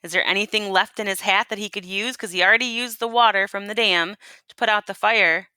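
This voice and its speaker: A woman teacher reading a storybook aloud to children and pausing to ask them questions. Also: medium pitch, steady tone, pushed and bright, clear, resonant tone, dry recording.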